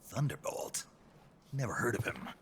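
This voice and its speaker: An American man playing a gruff, stoic special forces agent in a video game, terse and deadpan with a rough voice. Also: medium pitch, moderate pace, a little hiss or hum. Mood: firm. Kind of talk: dramatic video game acting.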